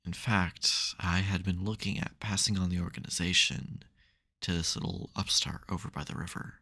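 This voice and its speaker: A man performs a male supervillain for an intimate ASMR roleplay. His voice sits very low, with a heavy gravelly creak. He comes across as wry and exasperated, with a caring streak under the theatrics. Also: little pitch movement, normal speed, sharp tone, heavily acted. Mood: reflective, tender.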